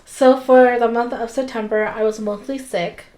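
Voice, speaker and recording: Hoarse young woman podcast co-host. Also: fairly high pitch, steady tone, moderate pace, warm tone. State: hoarse after a cold. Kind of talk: casual podcast chat.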